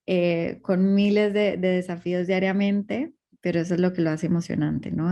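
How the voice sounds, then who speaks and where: A woman's voice: fairly low pitch, mellow. A woman from Costa Rica, introducing herself as a webinar panelist over a video call in an upbeat, friendly way.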